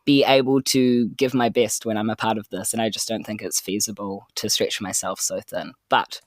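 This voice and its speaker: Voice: mid-range voice, level tone, moderate pace, no echo, studio quality. A podcast player, chatting casually and earnestly with a self-deprecating streak. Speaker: podcast player, arts postgraduate student. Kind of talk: casual, heartfelt chat.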